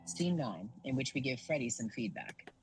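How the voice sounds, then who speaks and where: A man's voice: mid-range voice, animated, moderate pace, slightly rough, low-quality recording. A man reading stage directions as the narrator of an audio drama, in a scripted narration style. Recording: music under narration.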